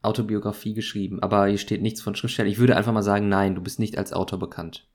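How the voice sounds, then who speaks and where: A man speaking, joking around during a guessing game and giving quick, short answers. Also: on the low side, flat delivery, darkish tone. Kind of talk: casual guessing game chat.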